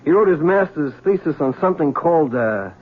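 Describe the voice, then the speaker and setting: An actor playing a cynical, wisecracking, husky police lieutenant with a teasing, slangy manner in radio drama. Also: male voice, fairly high pitch, animated, a little fast. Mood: wry. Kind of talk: old-time radio crime drama acting. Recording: tinny, narrow old radio recording.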